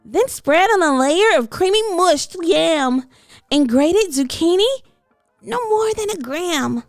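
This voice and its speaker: A woman's voice: extremely high pitch, expressive, slow, dry recording, clean studio sound, soft background music, voice pushed up, exaggerated voice. A woman voicing a tiny, eager little girl who makes polite but bossy demands in a children's storybook. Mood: eager, insistent. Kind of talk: children's storybook read-aloud.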